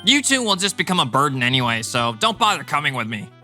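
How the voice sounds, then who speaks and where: A man's voice: fairly high pitch, very animated, fast talker, pushed and bright, soft background music, heavily acted. A man voicing an arrogant, callous, easily irritated husband in a manga dub, dismissive and self-important. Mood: scornful. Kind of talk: dramatized manga dub dialogue.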